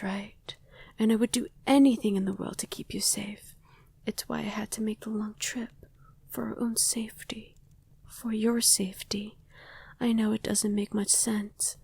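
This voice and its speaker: A woman's voice: mid-range voice, creaky, darkish tone, slightly rough, dry recording, full character voice. A woman playing a loving, wistful vampire wife in an intimate ASMR roleplay, speaking tenderly and often in a whisper, close to the microphone. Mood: protective, loving. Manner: slow, tender, coaxing murmur. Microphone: close, dry microphone.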